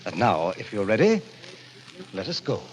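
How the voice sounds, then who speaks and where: Suave, animated older villain. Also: male voice, lowish voice, moderate pace, loud music behind the voice. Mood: calm, formal. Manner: formal, polished, persuasive. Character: suave, wealthy club president, a calculating, self-serving villain. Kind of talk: 1940s radio acting. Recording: noisy old radio recording, ambient sound effects.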